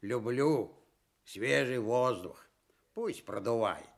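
A man performs a big, self-satisfied male bear from a children's radio tale. The voice is gravelly and swings widely in pitch. He takes his time, pompous and stubborn about his own opinions. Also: lively intonation. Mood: smug, self-important. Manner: self-important, smug, unhurried. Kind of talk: fairy-tale radio reading in character.

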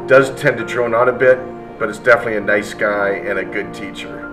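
A man's voice: fairly low pitch, steady tone, brisk. A male teacher in his thirties reading reviews aloud and reacting casually, recorded in a classroom from a few feet away. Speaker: math teacher. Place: indoor classroom. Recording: distant mic with music behind.

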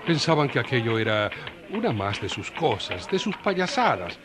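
A man's voice: mid-range voice, big pitch swings, normal speed, a loud crowd, very noisy. A man narrating a scripted story in a colloquial, storytelling style.

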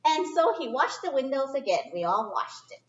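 Casting: middle-aged woman storyteller, high lilting voice, chatty read-aloud for kids, recorded from across an echoey room. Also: moderate pace. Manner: expressive, chatty, simple phrasing for young listeners. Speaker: storyteller reading a picture book to children. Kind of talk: children's read-aloud with informal asides. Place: indoor library children's area. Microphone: distant camera microphone. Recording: echoey room, distant muffled camera sound.

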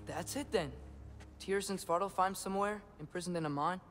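A man's voice: high-pitched, a little fast, fairly bright tone, faint music behind. An actor playing an earnest, determined teenage boy, a warrior's son, in a video game. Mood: earnest, eager. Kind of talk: dramatic video game acting.

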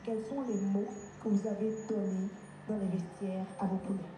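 A woman's voice: mid-range voice, flat delivery, normal speed. A woman journalist asking a coach a long, winding question at a press conference. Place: large press conference room. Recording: echoey hall with noisy background.

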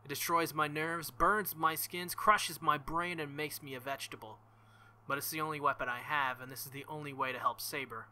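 Young man, bright forceful voice, reads game text aloud, jokey crude asides, let's play host. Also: a little high, fairly even intonation, brisk, slight background noise. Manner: joking, crude asides between narrated passages. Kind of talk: reading a visual novel aloud with commentary.

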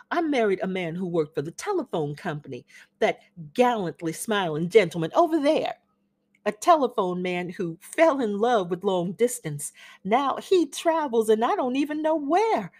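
A woman's voice: medium pitch, sing-song, moderate pace, darkish tone. A woman reading a play aloud, voicing a genteel older Southern mother who chatters and gushes in a Southern accent. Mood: wistful chatter. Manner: gushing, chattering, flutters up and down. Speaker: reader of a classic play.

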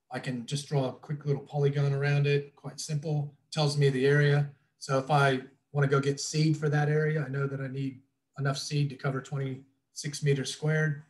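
A middle-aged man explaining things informally as a trainer in an online webinar, in a casual, loosely worded way, recorded indoors over a video call. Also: medium pitch. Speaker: drone trainer presenting a webinar. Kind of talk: informal instructional presentation over slides.

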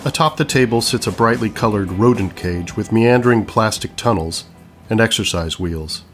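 A man's voice: fairly low pitch, normal speed, fairly bright tone, soft background music. A man narrating scripted stage directions for an audio play.

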